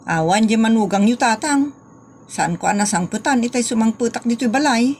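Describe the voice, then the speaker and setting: A woman playing a quick-talking accusing wife. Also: on the high side, darkish tone, slight background noise, natural voice. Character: young wife, daughter-in-law. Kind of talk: Ilocano comedy drama acting.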